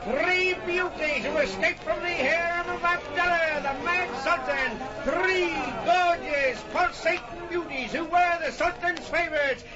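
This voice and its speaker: Shouting high-pitched carnival barker. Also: male voice, music in the background, a crowd in the background. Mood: brash selling. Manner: shouted showman patter, drawn-out selling words. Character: loud carnival barker hawking a sideshow of dancing girls. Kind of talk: old-time radio acting, sideshow spiel. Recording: dull old-time radio recording.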